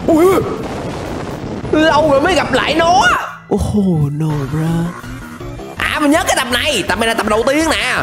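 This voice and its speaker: A man's voice: extremely high pitch, big pitch swings, moderate pace. A young man with a southern Vietnamese accent giving playful, exclamatory reaction commentary as a host, tossing in English interjections at a close microphone. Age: young adult. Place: small indoor streaming setup. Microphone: close desk microphone. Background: music.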